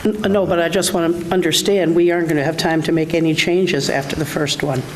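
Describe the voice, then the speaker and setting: A board member at a town meeting, pressing questions to get clarity. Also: male voice, a little high, fairly even intonation, brisk, slight background noise.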